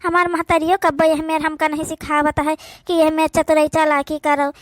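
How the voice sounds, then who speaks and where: A high, dry voice with an Awadhi accent carries this young village housewife acted by a woman. She is a wary, indignant cartoon sister-in-law, complaining bluntly and plainly. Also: level tone, normal speed, no room sound, natural voice. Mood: aggrieved.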